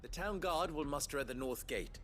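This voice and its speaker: A man playing a composed, authoritative town leader in a video game, speaking in formal, measured phrases. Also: a little high, animated, a bit bright, slight background noise. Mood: grave, resolute. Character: composed adult male town leader rallying defenders, authoritative. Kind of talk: dramatic video game dialogue.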